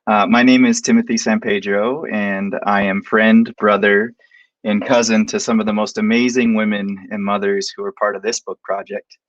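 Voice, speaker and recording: A man from Montana who is a professor, giving a heartfelt, measured introduction that he reads from a prepared script over a video call. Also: on the low side, flat delivery, no echo, muffled recording. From Montana, United States. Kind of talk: prepared introduction read aloud.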